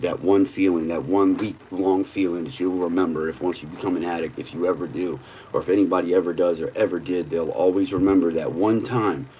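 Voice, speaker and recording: A man in his thirties talks straight to his livestream viewers, with plenty of "bro" and "man". His voice sits low. A faint hum of background noise runs under the recording. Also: darkish tone, low-quality recording. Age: 30s. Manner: blunt, streetwise, peppered with "bro". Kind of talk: casual livestream chat.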